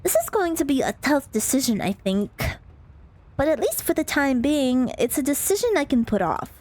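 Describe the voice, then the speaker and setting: A woman's voice: sits high, very animated, normal speed, darkish tone. A woman reading as an overworked office lady who narrates a visual novel with dry, reluctant kindness. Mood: resigned.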